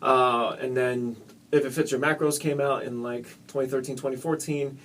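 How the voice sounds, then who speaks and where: A young man, from Hong Kong, talks through his story as a fitness coach in a relaxed, casual way, filling pauses with ums and uhs. His voice sits at an ordinary middle pitch. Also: a little hiss or hum. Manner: casual, laid-back, many ums and uhs. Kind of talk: relaxed interview answers.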